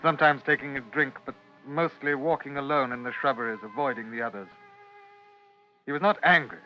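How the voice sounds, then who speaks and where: Male radio-drama narrator, measured and dramatic, medium pitch, muffled period sound. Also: faint music behind. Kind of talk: literary scripted narration, 1950s radio drama. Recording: muffled vintage radio recording.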